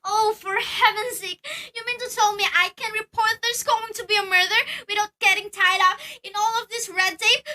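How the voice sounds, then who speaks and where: A woman's voice: very high-pitched, fairly even intonation, moderate pace, hardly any pauses, sharp tone. A young woman acting as an anxious, demanding, easily exasperated married woman in an amateur radio play, insistent and flustered.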